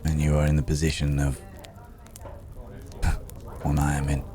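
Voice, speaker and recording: A man playing a weary, philosophical cursed werewolf in an intimate ASMR roleplay, musing in courteous, old-fashioned words with long, hesitant pauses. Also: a bass voice, completely flat delivery, mellow. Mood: wistful, doubtful. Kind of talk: slow intimate ASMR audio roleplay acting. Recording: background crowd murmur.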